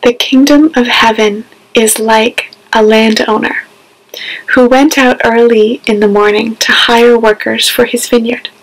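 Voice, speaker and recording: Slow, even-toned woman reading scripture. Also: a little high, poor audio. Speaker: scripture reader. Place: small home room, indoors.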